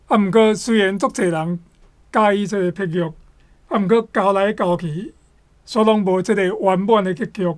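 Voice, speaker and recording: Taiwanese storyteller with a mid-pitched voice. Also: steady tone, normal speed. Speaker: story discussion speaker. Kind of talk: conversational story retelling.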